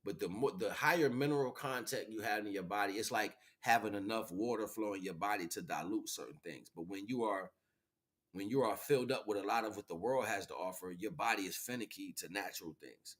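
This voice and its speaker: A man in his forties with a fairly low voice hosts an informal livestream. He rambles in slangy speech, circling back over his phrases and often checking that the listener follows. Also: fairly quick. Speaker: livestream host.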